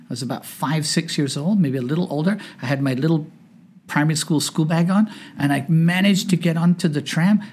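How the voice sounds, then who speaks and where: A man's voice: on the high side, darkish tone, slightly rough. A man in his fifties, born in Northern Ireland and raised in Hong Kong, telling jokey, self-deprecating stories as a guest on a casual podcast. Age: 50s.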